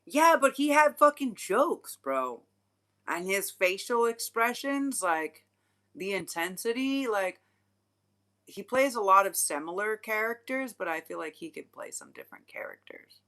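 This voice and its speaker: A woman's voice: mid-range voice, big pitch swings, measured pace, bright, forceful tone. A woman doing a casual tarot reading on a livestream, talking in a frank, rambling way with lots of fillers and casual swearing. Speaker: tarot reader.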